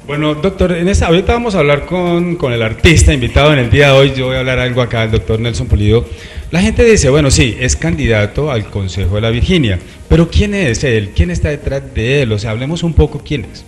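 Male morning-show host, thirties, Colombian accent, quick delivery, conversational interviewing. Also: mid-range voice, expressive, faint music behind. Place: small TV studio set. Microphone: handheld microphone.

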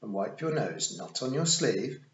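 A man's voice: fairly low pitch, very animated, unhurried, a bit bright. A man voicing a severe young girl in an audiobook, firm and clipped like a bossy, parental big sister. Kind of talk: audiobook character voice. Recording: muffled, roomy recording.

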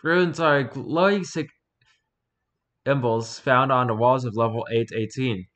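Bright-voiced man reading aloud offhandedly. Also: mid-range voice, unhurried. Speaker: streamer reading and reacting to wiki pages. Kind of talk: casual read-aloud with offhand asides.

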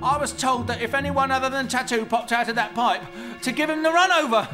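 A man's voice: extremely high pitch, a little fast. A man playing a cowardly, panicky small-time crook in a video game, pleading in streetwise talk. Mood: frightened, pleading. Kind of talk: video game cutscene acting. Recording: music behind the voice.

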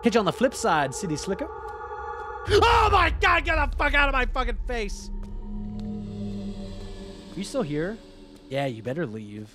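Young male streamer, high-pitched, swings all over, loud and sweary, headset mic. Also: measured pace, pauses often, over music. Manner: loud, swearing, joking, animated. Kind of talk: casual gameplay commentary with chat. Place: home gaming room, indoors.

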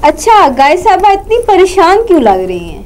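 A young female TV host with a high voice chats with puppets on a children's holiday show. She speaks in a studio, in a conversational presenting style. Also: sing-song, normal speed, a little mellow, slight background noise. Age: young adult.

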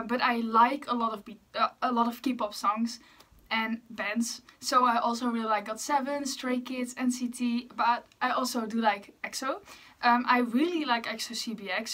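A woman's voice: a little high, a bit bright, recorded from a distance. A young woman from the Netherlands with a Dutch accent, chatting casually and a bit apologetically as she reacts to a music video in her bedroom. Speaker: YouTuber. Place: bedroom with posters.

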